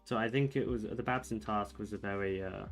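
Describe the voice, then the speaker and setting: Young male streamer, soft low-ish voice, meandering hesitant delivery over faint background music. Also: fairly quick. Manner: rambling, hesitant, many fillers and restarts; soft-spoken, called soothing by viewers. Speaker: streamer reading chat. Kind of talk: casual livestream chat while playing chess.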